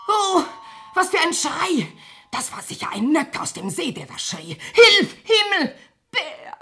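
A frantic mother in a radio play, urgent and high. Her voice is bright and piercing and leaps about, with music behind it. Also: sing-song, a little slow, heavily acted. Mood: alarmed, frantic. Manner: crying out, urgent. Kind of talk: theatrical radio verse drama.

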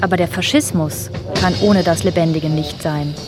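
An actress playing a solemn reciter in a German radio drama, reading in a measured, declarative way. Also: female voice, fairly low pitch, fairly even intonation, over loud music, lightly acted. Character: solemn female reciter of political psychology texts.

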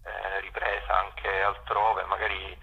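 Male radio guest, husky and very sharp-edged voice, halting spontaneous answers. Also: low voice, completely flat delivery, lo-fi sound. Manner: hesitant, searching for words. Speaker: radio interview guest from a community radio station. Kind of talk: unscripted interview answers.

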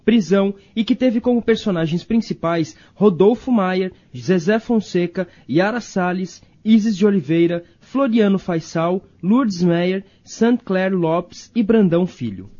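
High-voiced, mellow Brazilian man narrating. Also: a little slow, few pauses, muffled recording. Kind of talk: scripted radio documentary narration.

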